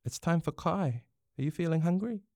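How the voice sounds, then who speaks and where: A man voicing a gentle, caring father in a storybook, soft and reassuring, with a New Zealand accent. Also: on the high side, expressive, normal speed, no room sound, studio quality, voice pushed up. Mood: gentle, concerned.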